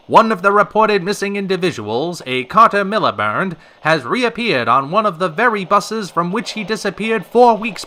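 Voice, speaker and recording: Vintage radio drama lead: flamboyant male show host played by an actor, lively swooping pitch, sharp bright announcer polish. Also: heavily acted. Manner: theatrical, polished announcer delivery. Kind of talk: 1940s radio acting.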